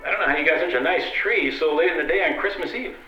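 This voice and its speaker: A man's voice: fairly high pitch, fast talker, music in the background, close to an everyday voice. A man playing a wry, condescending married detective with dry, theatrical delivery in an old-time radio drama. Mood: wry, fond. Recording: echoey, dull recording with clear noise.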